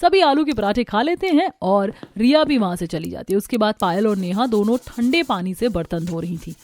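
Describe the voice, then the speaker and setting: A woman's voice: medium pitch, animated, normal speed, a little mellow, no echo. A woman narrating a scripted cartoon family story.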